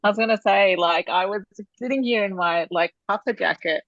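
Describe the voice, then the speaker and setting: A woman's voice: medium pitch, fairly quick, fairly bright tone, no echo, highs cut off. An Australian woman, an author, chatting casually and giggling often as a podcast guest. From Australia, born in Malaysia. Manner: chatty, giggly, lots of "yeah" and "like". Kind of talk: casual video-call interview.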